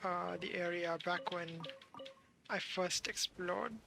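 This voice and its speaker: A game streamer giving casual live commentary, thinking aloud in halting fragments over game music. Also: mid-range voice, little pitch movement, gravelly, noisy background.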